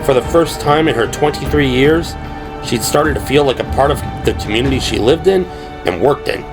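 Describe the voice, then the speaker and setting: A man reading scripted dramatic narration as an audiobook narrator, in a measured, descriptive storytelling manner. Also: mid-range voice. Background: prominent music.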